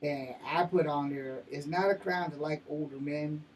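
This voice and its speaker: A man's voice: a little high, fairly even intonation, moderate pace. Blunt American man in his fifties, distant noisy recording. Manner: profane, blunt, slangy. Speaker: host of his own vlog. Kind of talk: rambling casual chat to viewers. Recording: noisy, distant-sounding mic with room ambience.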